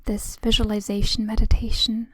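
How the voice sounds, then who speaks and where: A woman leading a guided meditation in a soothing, hushed, breathy voice with long pauses between phrases. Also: medium pitch, flat delivery, no room sound, low-quality recording. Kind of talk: slow guided meditation narration.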